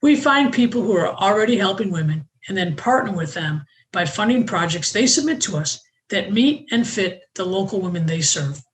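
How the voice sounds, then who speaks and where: A woman hosts an online panel and reads her welcome from a prepared script. Her delivery is measured and even, and her voice sits in a middle register. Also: mid-range voice, poor audio. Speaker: host.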